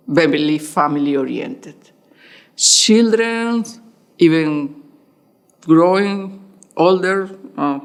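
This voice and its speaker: An older woman from Venezuela, a native Spanish speaker, speaks in English at an unhurried, deliberate pace. She sounds thoughtful as she recalls moving her family. Her voice is of middle pitch. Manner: slow, thoughtful, laughs while retelling. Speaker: interviewee, immigrant mother. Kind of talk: documentary interview.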